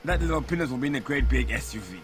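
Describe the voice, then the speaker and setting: An actor playing a boastful, brash gangster in a video game, speaking in clipped phrases. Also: male voice, mid-range voice, animated, racing, a little hiss or hum, lightly acted. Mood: gleeful, scheming. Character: cocky, boastful male gangster big shot. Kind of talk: video game cutscene acting.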